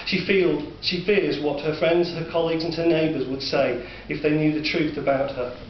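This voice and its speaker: A man with a Northern English accent narrating a dark story in a measured, sombre way. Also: a little high, steady tone. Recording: distant, roomy, dull recording with faint hiss.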